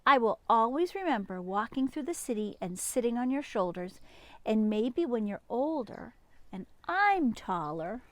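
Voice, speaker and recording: A woman's voice: a little high, sing-song, a little slow, fairly bright tone. A woman reading the part of a loving, curious, earnest young boy in a picture book, with eager wonder and tenderness. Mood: tender, eager. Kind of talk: picture-book read-aloud.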